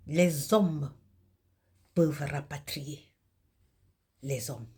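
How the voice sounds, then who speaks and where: A Cameroonian woman with a husky, gravelly voice delivers a religious monologue in solemn, declamatory, halting phrases, with a Cameroonian French accent. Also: sits low, mellow. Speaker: video host and self-described messenger of God.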